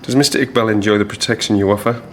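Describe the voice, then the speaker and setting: An actor playing a sardonic police detective. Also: male voice, fairly low pitch, fairly even intonation, very fast, a bit bright, a little hiss or hum, close to an everyday voice. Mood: probing. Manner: pointed, probing, sardonic questions. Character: persistent male police detective. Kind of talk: radio drama acting.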